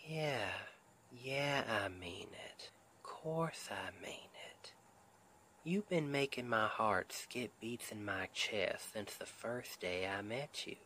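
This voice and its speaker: A man acts out a devoted Southern husband comforting his wife in an ASMR roleplay. His lowish voice has a Southern US accent and moves very slowly, gentle and affectionate, often slipping toward a whisper. Audible ambient noise sits behind him. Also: lively intonation, full character voice. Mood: earnest, loving. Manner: soothing, gentle, affectionate pet names, often half-whispered. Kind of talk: ASMR roleplay, intimate and soft.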